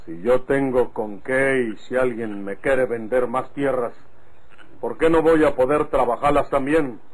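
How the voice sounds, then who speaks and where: An actor playing a proud, guarded rural bandit in an old radio drama, blunt and measured, with a northern Mexican accent. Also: male voice, medium pitch, natural voice, low-quality recording. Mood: wary.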